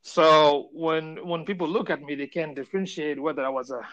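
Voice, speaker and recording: A man's voice: a little high, steady tone, moderate pace, fairly bright tone, lo-fi sound. A man raised in Saudi Arabia, a podcast guest telling his life story in a chatty way and laughing often. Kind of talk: casual interview storytelling.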